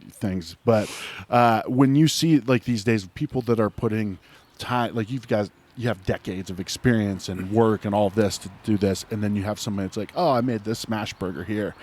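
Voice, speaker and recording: A man's voice: on the low side, a little mellow. A man from Ohio hosting a podcast, chatting in an agreeable, rambling way and laughing often. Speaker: podcast host. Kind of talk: casual podcast interview.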